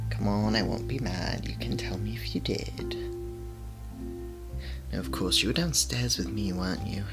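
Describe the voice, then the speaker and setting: A man playing a fussy, whimsical podcaster who mutters softly and playfully to himself and his cat in an audio roleplay. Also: fairly low pitch, creaky, full character voice. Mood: gently suspicious. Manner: soft-spoken, playful muttering to himself and a pet.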